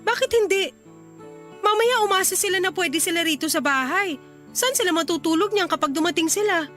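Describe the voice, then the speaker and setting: Casting: furious Filipino young wife, piercingly high and sharp, scolding, for radio drama. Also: female voice, fairly quick, soft background music. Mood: tense, suspicious. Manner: emphatic, scolding. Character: angry young wife and mother, indignant, confrontational.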